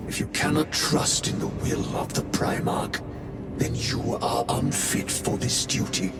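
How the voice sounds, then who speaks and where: An actor playing a stern, judgmental warrior chaplain in a sci-fi video game, solemn and admonishing, with a breathy rasp. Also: male voice, fairly high pitch, a little slow, far from the mic, loud music behind the voice. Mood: stern, accusing. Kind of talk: cinematic video game acting.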